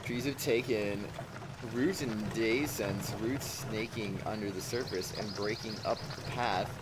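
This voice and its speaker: A man's voice: lowish voice, a little slow, very noisy. A man streamer casually reading a visual novel aloud, slipping in jokey asides. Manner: jokey asides, reads punctuation out as sound words. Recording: music behind the voice. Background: game music.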